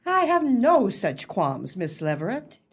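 A woman's voice: medium pitch, wildly swooping pitch, a little slow, slightly rough, full character voice. A woman acting in a radio play as a proud, bossy society hostess who speaks formally and precisely. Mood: condescending.

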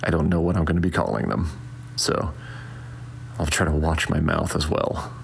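A tired man giving sleepy, hushed updates during an all-night charity livestream at home. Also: expressive, moderate pace, vocal fry, a little hiss or hum. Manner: sleepy, low-volume talk. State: exhausted from staying up all night. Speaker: charity stream host. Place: quiet house at night.

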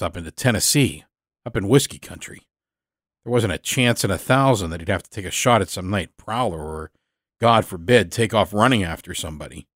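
A man reading scripted first-person fiction as a storyteller, in a measured, dramatic manner. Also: fairly low pitch, breathy edge, no echo, studio quality. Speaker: storyteller, podcast narrator.

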